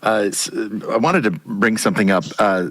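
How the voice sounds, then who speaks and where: Low-voiced male podcast host. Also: expressive, normal speed, poor audio. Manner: warm, curious questioner with many "uh"s. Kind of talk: interview conversation.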